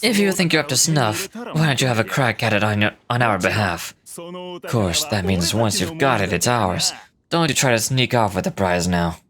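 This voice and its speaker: A gruff sneering bandit. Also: male voice, lowish voice, very animated, moderate pace, a crowd in the background. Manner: blunt, sneering, streetwise slang. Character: gruff male bandit, rough and threatening. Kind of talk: video game voice acting.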